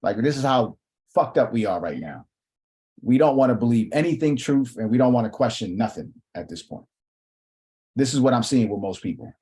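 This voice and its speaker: A man hosting a live question-and-answer chat in a loose, slangy way, swearing freely as he talks. His voice sits at an ordinary middle pitch. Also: animated, brisk, dark tone. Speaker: host. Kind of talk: casual livestream chat.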